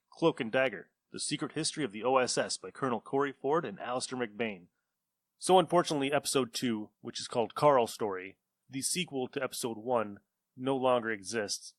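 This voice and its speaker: A man's voice: medium pitch, no echo. A man hosting a podcast, casually introducing old radio shows. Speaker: podcast host.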